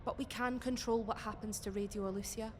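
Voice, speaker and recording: A woman's voice: a little high, steady tone, a little fast, natural voice. A woman playing an urgent, questioning young rebel in an audio drama, talking in short, hesitant phrases. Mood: anxious resolve. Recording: long reverb, faint background noise.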